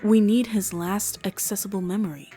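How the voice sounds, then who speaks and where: A woman voicing a calm, methodical female doctor who speaks in a measured, matter-of-fact way. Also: medium pitch, slightly rough, soft background music, close to an everyday voice. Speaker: voice actor in a fan game dub.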